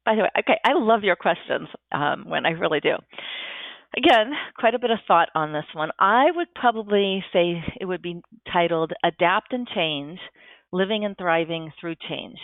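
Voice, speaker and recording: Enthusiastic female guest, gravelly tone, tinny phone-line audio, dry sound. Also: fairly low pitch, animated, moderate pace, no room sound. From United States. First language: Japanese. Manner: enthusiastic, thoughtful, frequent restarts. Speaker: podcast guest. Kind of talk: casual interview answers.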